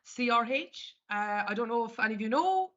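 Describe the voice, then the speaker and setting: Bright-voiced Irish woman presenting a webinar. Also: a little high, lively intonation, normal speed. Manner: conversational, walks through screen step by step. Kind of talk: live market analysis presentation. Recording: dull lo-fi webinar audio.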